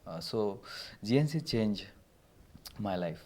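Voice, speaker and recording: A man, a musician, speaking as a guest in simple, earnest English with pauses. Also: lowish voice, expressive, warm tone. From India.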